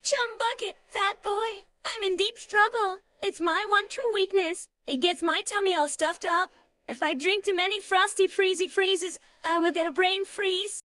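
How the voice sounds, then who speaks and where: A woman voicing an excitable, apologetic young cartoon character with pleading, childlike phrasing. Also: very high-pitched, measured pace, dry recording. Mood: earnest.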